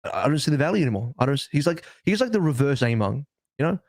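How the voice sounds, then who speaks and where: A man's voice: medium pitch, very animated, quick pace, darkish tone, no room sound, clean studio sound. A man giving casual esports analysis as a podcast panelist, insistent and repeating his phrases to press the point.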